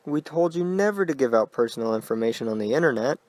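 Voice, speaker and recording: A man voicing an eerie mother in an unnatural falsetto, recorded close and dry. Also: animated, quick pace, darkish tone, no room sound, close to an everyday voice.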